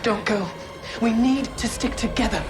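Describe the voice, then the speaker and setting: An actress voices a young female Jedi apprentice in a game, anxious and protective. Her high voice carries urgency over a bed of music. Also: moderate pace, lightly acted. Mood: pleading. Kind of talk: cinematic video game acting.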